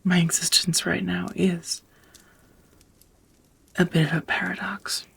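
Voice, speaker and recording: An ancient female vampire, acted by a woman speaks in a very breathy, whispered ASMR voice on the lower side. Her delivery is slow and hesitant, with formal wording. She comes across as tender, melancholy and quietly ashamed of what she is. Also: heavily acted. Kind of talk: intimate ASMR roleplay.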